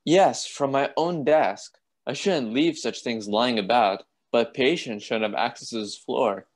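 A composed doctor of an asylum played by a man in a radio play. He has a medium-pitched voice and speaks gravely, in measured, clipped phrases. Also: close to an everyday voice. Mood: grave, reflective.

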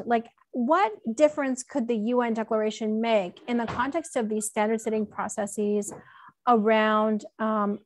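Higher-voiced woman, informal and frank. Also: no echo. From Alberta, Canada. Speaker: keynote speaker, law professor. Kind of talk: conversational academic talk. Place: indoor room at home, on a video call. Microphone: computer mic on a video call.

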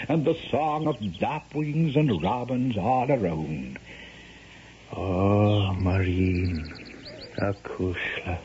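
Ardent Irish storyteller, radio drama, slightly high voice, very lively intonation, muffled vintage broadcast. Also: male voice, slight background noise. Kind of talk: 1970s American radio drama acting.

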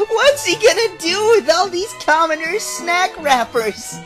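A woman's voice: very high voice, exaggerated voice. A woman voicing a teasing, mischievous teenage schoolboy with a mocking drawl, in a manga fan dub.

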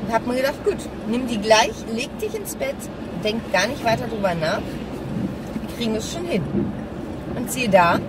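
Woman in her 30s, bit hoarse, chatting in the car, loud road noise, mic kinda far. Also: on the high side, very animated, normal speed. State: slightly hoarse. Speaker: vlogger. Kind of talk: casual chat while driving. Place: inside a small car while driving. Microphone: camera or phone mounted on dashboard. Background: car engine and traffic noise.

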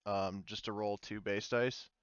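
Low-voiced man running a tabletop game. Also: steady tone, measured pace, highs cut off, heavy background noise. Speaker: game master. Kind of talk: narrating and running an online tabletop roleplaying game.

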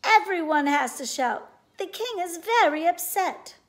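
Woman acting a loud, groaning, high-voiced knight. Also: animated, measured pace, heavily acted. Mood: groaning complaint. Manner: groaning, complaining loudly. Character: groaning, exasperated knight, a worn-out man.

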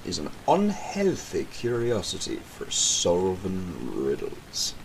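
An actor playing a sinister criminal mastermind in an audio drama, taunting a captive in formal, theatrical language. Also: male voice, low-pitched, wildly swooping pitch, slow pace, slight background noise, exaggerated voice, rough recording. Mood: smug.

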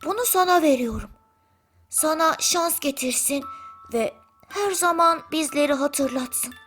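A woman's voice: high voice, a little slow, a bit bright, faint music behind, pitched above the performer's own voice. A woman voicing an eager, earnest young village child in a bedtime story, with a simple childlike delivery. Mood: earnest, tender.

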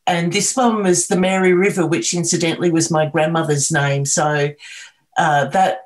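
A middle-aged Australian woman, a video artist, talking about her work on a video call, with many pauses, ums and restarts. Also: sits low, steady tone. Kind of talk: artist talk on a video call, sharing screen.

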